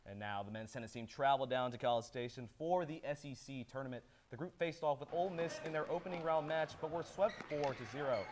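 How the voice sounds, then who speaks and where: A young man reading a sports report as a student anchor, with a steady, even delivery. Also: medium pitch, fast, hardly any pauses, slight background noise. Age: young adult. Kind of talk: read news script.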